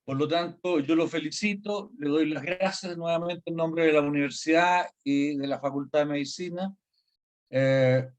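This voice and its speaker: A man thanks a guest in courteous, formal phrases. His voice sits a little high. He speaks as a university host on a video call. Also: darkish tone. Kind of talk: formal thanks at a webinar close.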